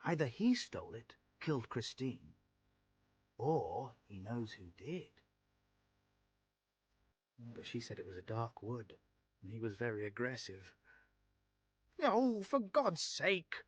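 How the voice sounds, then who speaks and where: An actor playing a hapless, excitable young British gentleman in a vintage radio drama, theatrical and self-pitying with genteel old-fashioned exclamations. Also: mid-range voice, takes it slowly, long pauses, slightly rough, no echo, full character voice. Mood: self-pitying, anxious.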